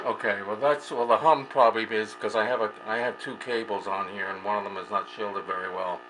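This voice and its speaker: A man's voice: lowish voice, steady tone, fairly quick. A man speaking as an amateur radio operator in a casual two-way chat, polite and a little hesitant. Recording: clear background noise and hum.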